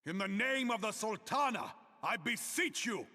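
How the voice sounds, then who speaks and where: Commanding general, voice ringing with reverb. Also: male voice, high voice, sing-song, bright, forceful tone, exaggerated voice. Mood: rousing. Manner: declamatory, solemn, deliberate. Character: fierce, proud, commanding male general rallying a nation. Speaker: military leader giving a rallying speech. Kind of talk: theatrical oratory. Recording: long reverberant hall echo.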